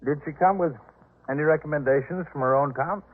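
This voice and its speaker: A man's voice: fairly high pitch, brisk, close to an everyday voice. An American man playing a proud, boastful household master in a 1950s radio drama, with a pompous, patronizing manner. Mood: musing, self-satisfied. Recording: narrow-band vintage 1950s radio recording.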